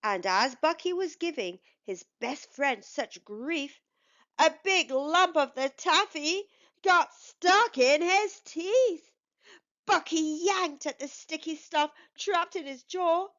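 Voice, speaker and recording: A woman reading a children's storybook aloud as a storytime host, with measured, expressive delivery. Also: sits high, lo-fi sound.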